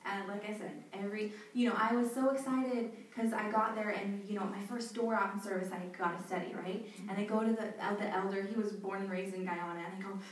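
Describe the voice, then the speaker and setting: A young woman in an interview, chatty and animated, with plenty of casual fillers and easy laughter. Her voice sits at a medium pitch. The mic is some distance off, so the sound rings around a large echoey hall with a faint hiss underneath. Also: fairly quick, few pauses. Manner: chatty, animated, laughs often, many "you know" and "like" fillers. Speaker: interviewee, volunteer back from abroad. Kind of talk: conversational interview answers.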